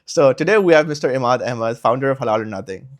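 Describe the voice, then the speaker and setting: A man's voice: medium pitch, lively intonation, fast. A man in his twenties hosting an interview podcast, who asks plain, friendly questions and laughs often. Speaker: podcast host.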